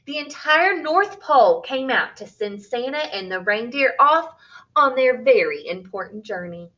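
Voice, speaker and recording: A woman reading a picture book aloud for young children in an expressive, deliberate way, as a storyteller. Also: a little high, lo-fi sound.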